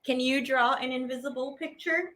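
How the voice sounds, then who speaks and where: A woman teaching artist hosting a program, reading children's poems aloud in a playful, theatrical way with drawn-out words. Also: high-pitched, fairly even intonation, fairly bright tone.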